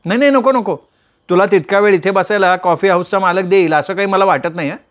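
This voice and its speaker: A man voicing a chatty, carefree young woman who teases her friend in quick banter in a read-aloud story. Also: sits high, voice pushed up, lightly acted. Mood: teasing. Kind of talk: storytelling with voiced characters.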